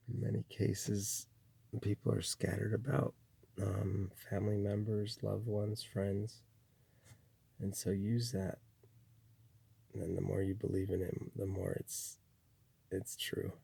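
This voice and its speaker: A man from Chile giving a slow, rambling spiritual monologue, full of restarts and pauses. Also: warm tone. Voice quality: gravelly, husky low voice. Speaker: spiritual speaker.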